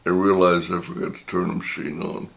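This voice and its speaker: An older man hosting his own channel, telling a personal story in a reflective, unhurried way, his voice a little raspy from talking. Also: low voice, level tone. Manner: reflective and unhurried, many pauses. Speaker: channel host.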